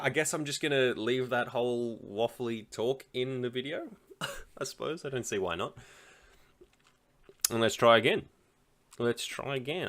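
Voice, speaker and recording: A man's voice: mid-range voice, fairly bright tone. An Australian man talking casually while playing online chess, rambling and thinking aloud, often laughing. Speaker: chess streamer.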